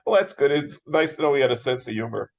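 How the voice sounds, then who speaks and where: A man's voice: mid-range voice, expressive, fast. A man moderating a casual interview in an affable, appreciative manner.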